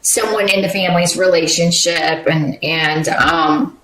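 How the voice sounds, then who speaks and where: A woman's voice: lowish voice, measured pace, fairly bright tone, reverberant, a little hiss or hum, poor audio. A woman giving a casual tarot reading, rambling with many fillers and restarts. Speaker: tarot reader.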